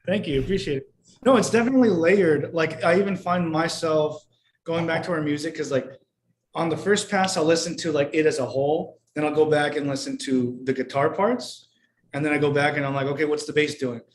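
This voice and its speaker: Man in his twenties, band member, relaxed and chatty, slightly high voice, echoey room, faint background hiss. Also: a little fast. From Portland, Oregon. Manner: relaxed, chatty, laughs easily. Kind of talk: casual interview chat. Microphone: video call. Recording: roomy video-call audio with faint noise.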